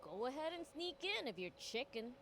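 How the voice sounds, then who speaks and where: High-pitched brash demon boy. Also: big pitch swings, a little fast, a bit bright, slight background noise, full character voice. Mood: cocky, teasing. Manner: brash, taunting. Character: brash young demon prince, cocky boy spoiling for fights. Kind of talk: video game cutscene voice acting.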